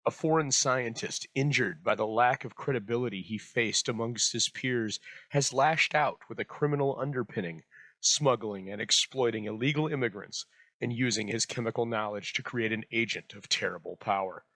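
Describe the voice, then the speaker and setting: A male host reads a scripted introduction in a bright, crisp tone at medium pitch. His phrasing is literary and measured, recorded very dry and close. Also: no room sound. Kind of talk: scripted narration introducing vintage radio.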